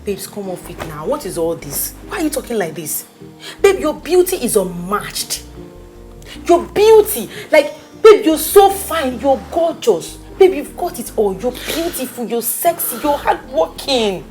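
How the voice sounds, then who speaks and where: A woman's voice: high voice, big pitch swings, measured pace, darkish tone, faint music behind. A woman with a Nigerian accent playing a pushy, caring friend who coaxes and pleads with emphasis. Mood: coaxing. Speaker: consoling friend.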